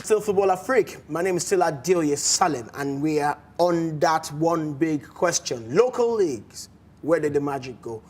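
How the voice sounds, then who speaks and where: A man with a Nigerian accent hosting a casual sports talk show in a studio, animated and often restarting his words. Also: fairly high pitch, normal speed, music in the background. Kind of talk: casual studio chat with phone-in.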